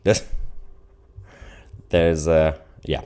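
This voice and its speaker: Guy with a really deep voice doing casual live stream commentary, reading chat, off the cuff. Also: level tone, very slow, dark tone, smooth. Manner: chuckling, informal, off-the-cuff.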